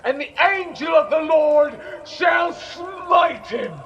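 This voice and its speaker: A man's voice: animated, slow, muffled recording, a faint crowd, slight background noise, exaggerated voice. A man acting a fierce holy prophet crying doom in an audio play, incantatory and declaimed. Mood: denouncing, ominous. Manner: booming, incantatory, shouted.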